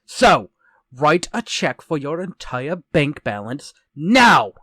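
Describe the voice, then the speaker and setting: An actor playing a vengeful, menacing ex-convict murderer in a retro radio drama, theatrical, taunting and deliberate. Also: male voice, on the high side, sing-song, pushed and bright, no echo, studio quality, heavily acted. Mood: demanding, angry.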